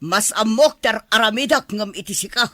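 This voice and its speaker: A woman's voice: a little high, normal speed, a bit bright, no room sound, clean studio sound. An actress playing a haughty, stingy middle-aged rich mistress and stern mother in a radio drama, scornful and imperious. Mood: stern, scolding. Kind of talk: Ilocano radio drama acting.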